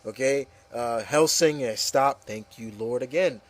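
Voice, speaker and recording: A man's voice: mid-range voice, unhurried, no room sound. A man hosting a casual opinion talk, ranting emphatically and often repeating himself with okay and you know. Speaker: host. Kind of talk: casual opinion rant with co-hosts.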